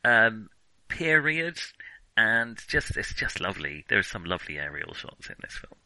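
Bright-voiced man in casual film chat. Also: on the low side, sing-song, normal speed, no echo, rough recording. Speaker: film podcast co-host.

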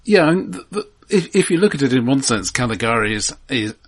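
A man with a husky, unpolished voice at an average pitch talks casually about film. His delivery is measured and analytical, and he qualifies his points as he builds them. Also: very animated. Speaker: film podcast host.

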